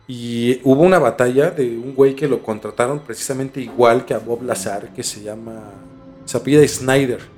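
A Mexican man hosts a casual podcast in a mid-pitched voice, with music playing underneath. He tells things informally and haltingly, often doubling back on his words as he explains. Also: a little mellow. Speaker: podcast host. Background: background music.

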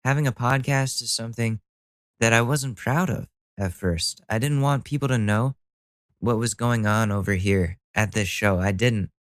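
A man's voice: mid-range voice, normal speed, no room sound, clean studio sound. A young man hosting a solo podcast, talking casually with a stuffy nose, rambling and joking at his own expense with the odd swear word. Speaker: podcast host.

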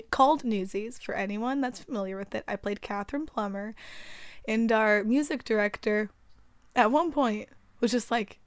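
A young woman with a raspy voice, chatting as a podcast co-host, rambling and giggling with lots of fillers. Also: a little high, dry recording, clean studio sound. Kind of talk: casual chat.

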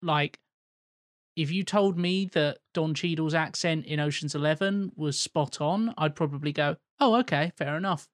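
A man's voice: fairly high pitch, normal speed, dry recording, studio quality. A man co-hosting a podcast, speaking hesitantly with many restarts in casual chat. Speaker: podcast co-host.

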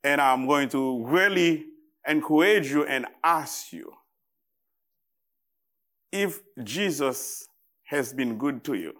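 A man from Haiti with a Haitian accent delivers a sermon to a congregation as a guest preacher. His voice sits at a middle pitch, and he takes his time, setting out short phrases deliberately with halting pauses. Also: lively intonation, a bit bright.

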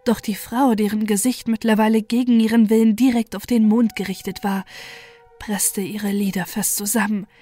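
A woman reading a scripted first-person horror story in a measured, grave storytelling manner. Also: mid-range voice, steady tone, dark tone. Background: music.

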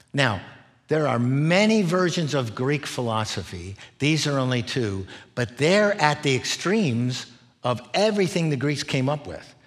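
A middle-aged man preaching in an explanatory way, laying out points and often checking in with listeners. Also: medium pitch. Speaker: preacher, former missionary. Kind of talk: expository sermon, teaching in numbered points.

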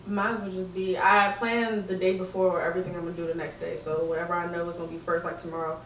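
A woman's voice: fairly low pitch, flat delivery, fast. A woman in her twenties in a casual group chat, speaking in a plain, matter-of-fact way. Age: 20s. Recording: roomy, distant mic, faint noise.

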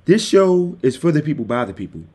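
A man hosting his own podcast, talking casually and directly to his listeners in a calm, soothing voice into a desktop microphone. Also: fairly high pitch, fairly quick, breathy edge. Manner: easygoing, addresses listeners directly. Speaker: podcast host. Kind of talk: casual solo podcast talk. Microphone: desktop USB microphone.

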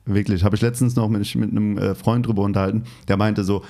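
A man with a light Hessian accent hosting a relaxed interview, friendly and talkative with fillers. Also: lowish voice, fast, vocal fry. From Hesse, Germany. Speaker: interviewer, host. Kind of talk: casual interview conversation.

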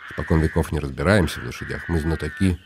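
A man's voice: rumbling low, fast talker, noisy background. A man playing a weary, ironic nobleman in reflective inner monologue for a radio play. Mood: musing. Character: weary, ironic, lonely nobleman, brooding inwardly. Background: music.

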